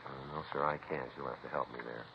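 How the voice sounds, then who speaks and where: Courteous, deadpan policeman, bottom-register voice, clipped businesslike lines, dull hissy vintage radio drama sound. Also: extremely low pitch, quick pace, vocal fry. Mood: dry, patient. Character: dry, polite, businesslike male police detective. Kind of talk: 1950s radio police procedural acting. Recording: muffled, hissy 1950s radio recording.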